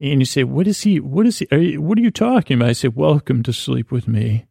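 Creaky-voiced, rambling man. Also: mid-range voice, lively intonation, warm tone, no echo, clean studio sound. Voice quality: mumbling. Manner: meandering, halting, stammering and repeating words; silly, self-deprecating. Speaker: podcast host. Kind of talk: rambling, whimsical bedtime monologue.

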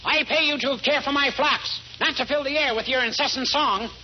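A haughty landowner barks archaic scorn in a strained, very high voice, as a man puts him on. It comes through a dull, muffled 1940s radio broadcast, with a steady hiss behind it. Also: brisk. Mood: scornful. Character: stern, scornful rich male landowner.

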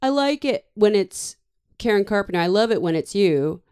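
A woman's voice: medium pitch, lively intonation, moderate pace, a little mellow, clear, resonant tone. A woman hosting a podcast, chatting casually with a guest, rambling and laughing often. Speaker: podcast host. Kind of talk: casual interview chat.

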